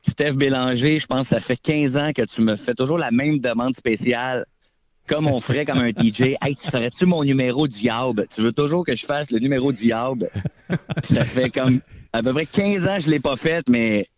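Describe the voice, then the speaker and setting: A man's voice: mid-range voice, normal speed, dry recording, dull audio. A man in his forties with a Québécois accent, a guest comedian telling stories in playful, self-mocking casual chat. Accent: Québécois French. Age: 40s.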